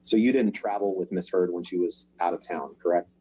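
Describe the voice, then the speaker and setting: A man questioning a witness in court, in measured, formal phrases. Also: deep voice, dark tone, slightly rough, low-quality recording. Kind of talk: courtroom examination.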